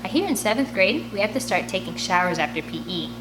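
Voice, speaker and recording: A woman's voice: medium pitch, sing-song, quick pace, over music, lightly acted, low-quality recording. A woman voicing a cheeky, touchy preteen boy in an audiobook, with a whiny, defensive manner. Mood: chatty.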